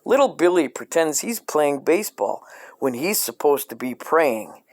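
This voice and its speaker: A man reading a comedic parody children's book aloud in a deadpan, scripted storyteller style. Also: on the high side, measured pace.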